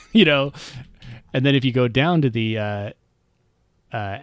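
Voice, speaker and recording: A man's voice: mid-range voice, unhurried, no room sound. A man chatting casually on a game stream, rambling and wry, often trailing off with um and uh.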